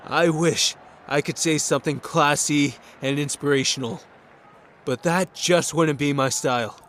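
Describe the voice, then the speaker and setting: A man's voice: fairly high pitch, fairly even intonation, measured pace, a bit bright, slight background noise. A man playing a cocky, swaggering teenage football quarterback in an audio drama. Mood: cocky, determined.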